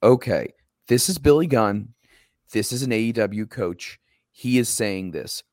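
Hoarse, emphatic podcast co-host man. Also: fairly low pitch, a little slow, dry recording, studio quality. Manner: emphatic, ranting, animated when quoting others. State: slightly hoarse. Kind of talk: casual podcast chat.

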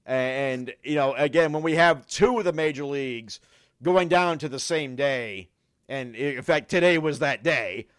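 A man co-hosting a casual football podcast, his voice raspy from a cold, often stumbling over and repeating words and laughing. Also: mid-range voice, unhurried, dry recording, studio quality. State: sick with a cold. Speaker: podcast co-host.

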